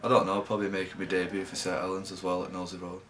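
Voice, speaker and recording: A young man from England, a rugby league player giving low-key, halting interview answers. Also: monotone, fairly bright tone, slight background noise.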